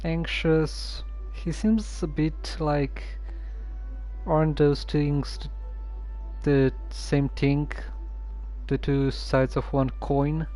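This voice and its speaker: Streamer mumbling along to a game cutscene, slow and deadpan, kinda hesitant. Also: mid-range voice, soft background music. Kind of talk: casual commentary while playing a game.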